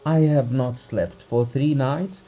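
A man's voice: medium pitch, big pitch swings, unhurried, mellow, breathy edge, voice pushed up. A man voicing a grieving, exhausted doctor in a dramatized audiobook, protesting formally and indignantly. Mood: indignant grief.

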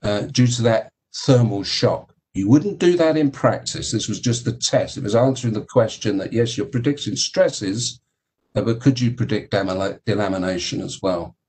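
A man giving a technical keynote talk about engineering, speaking in a halting way with many fillers and repeated words. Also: fairly low pitch, darkish tone, slightly rough. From United Kingdom. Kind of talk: technical conference presentation.

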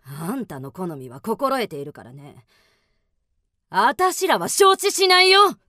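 An actress playing a jealous, sharp-tongued chieftain's wife in a radio drama, brassy and knowing. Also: female voice, fairly high pitch, wildly swooping pitch, a little slow, no echo, clean studio sound, full character voice. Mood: jealous, scolding.

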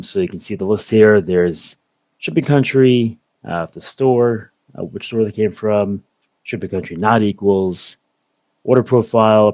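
A young man giving a methodical, step-by-step software walkthrough over a video call. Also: low voice, no echo. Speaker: software product presenter. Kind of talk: screen-share software walkthrough.

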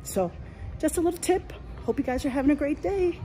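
A woman's voice: high voice, lively intonation, fairly quick, dark tone. A middle-aged woman chatting enthusiastically as a business coach, filming herself on a phone while walking through an indoor mall. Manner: chatty, enthusiastic, points things out as she walks. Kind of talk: walking selfie vlog. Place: large indoor shopping mall. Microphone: phone held at arm's length.

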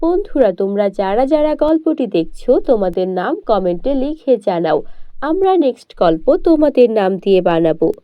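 A woman's voice: a little high, mellow, dry recording, clean studio sound. A woman giving scripted narration of children's cartoon fairy tales.

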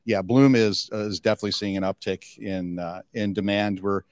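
A man's voice: fairly low pitch, fairly even intonation, brisk, a little mellow, no room sound. A middle-aged man speaking conversationally as an executive being interviewed, close on a handheld microphone. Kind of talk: conversational trade-show interview. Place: indoor interview booth at a conference.

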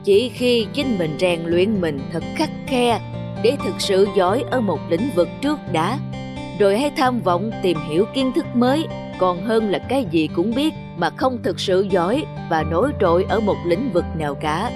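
A woman's voice: medium pitch, expressive, normal speed, lightly acted. Woman voicing patient old village schoolmaster, music behind. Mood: earnest, explaining. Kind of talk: scripted cartoon dubbing. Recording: music under the voice.

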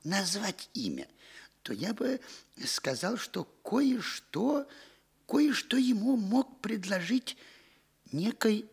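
An actor playing a frightened, evasive small-time informer in a radio drama, stammering and hesitant. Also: male voice, high-pitched, big pitch swings, takes it slowly, vocal fry, slightly rough, exaggerated voice. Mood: fearful, hesitant. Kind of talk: Soviet radio drama acting.

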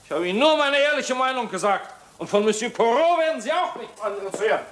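Very high-pitched, blunt inspector. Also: male voice, animated, fast talker, noisy background. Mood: sardonic, irritated. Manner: blunt, sardonic. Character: gruff male police inspector, cynical, blunt. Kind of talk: German radio crime drama acting.

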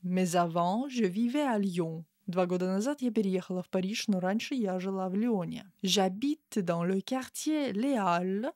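Young woman teaching French phrases slowly. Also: mid-range voice, a little mellow, no room sound, studio quality. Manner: slow, careful French examples between quick explanations. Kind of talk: language lesson. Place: small room with a window, indoors. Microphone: studio mic in front of her.

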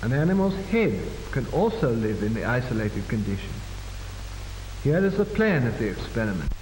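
A man reading formal, measured 1940s educational film narration with precise enunciation, on an old film soundtrack. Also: mid-range voice, very animated, darkish tone, reverberant, in a large hall, slight background noise, low-quality recording.

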